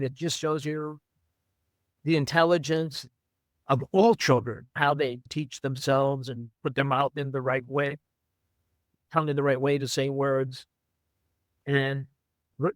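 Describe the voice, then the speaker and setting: An older man from Brooklyn, a former runner and coach, telling stories in an interview in an unhurried, fond way with many fillers. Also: a little high, no echo. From Brooklyn, New York. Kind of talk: reflective storytelling.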